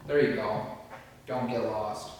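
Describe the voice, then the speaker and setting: A man's voice: lowish voice, fairly even intonation, takes it slowly, darkish tone. A man reading the part of a defensive, curt brother of about thirty in a film script, with a dry delivery. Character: Mark, defensive, curt thirty-year-old younger brother. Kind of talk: table read of a film script. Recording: echoey room from far off, faint noise.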